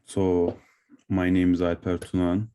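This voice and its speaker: Deep, mellow man from Turkey. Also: completely flat delivery, unhurried, clear, resonant tone. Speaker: podcast co-host. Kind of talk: casual chat.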